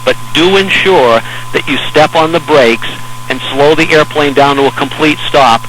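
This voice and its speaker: An American man working as an air traffic controller, giving measured, hesitant, reassuring step-by-step instructions over aviation radio. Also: fairly high pitch, a bit bright, poor audio. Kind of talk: instructional radio talk to a pilot in an emergency.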